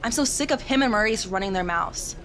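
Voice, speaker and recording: A woman's voice: mid-range voice, animated, a little fast, background noise, natural voice. A woman voicing a friendly, fed-up wrestling colleague in a video game cutscene. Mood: supportive, exasperated.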